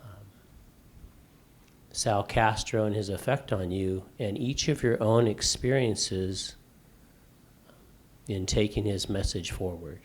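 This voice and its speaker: Loud, emphatic middle-aged man. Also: fairly low pitch, slow, long pauses. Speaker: faculty member in the audience. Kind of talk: impromptu remarks from the floor.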